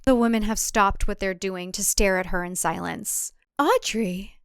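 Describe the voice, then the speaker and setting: A woman's voice: mid-range voice, steady tone, moderate pace, clear, resonant tone, no echo, studio quality. A woman giving a dramatic scripted narration of a suspense thriller.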